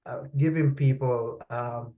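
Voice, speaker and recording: Slow, deliberate man. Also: mid-range voice, steady tone, warm tone, highs cut off. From Saudi Arabia. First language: Arabic. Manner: deliberate, searching for words. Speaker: guest expert. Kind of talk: interview conversation.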